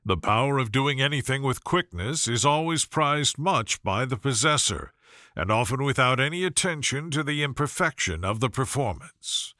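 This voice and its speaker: A man voicing a proud, reserved young gentleman in a storybook reading, measured, cool and formal. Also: medium pitch, expressive, no echo, clean studio sound. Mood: critical. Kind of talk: audiobook character voice.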